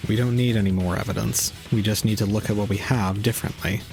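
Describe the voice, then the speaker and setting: An actor playing a cold, calm young man who preaches despair with detached logic in an audio drama. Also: fairly low pitch, quick pace, vocal fry, faint music behind. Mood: coldly logical.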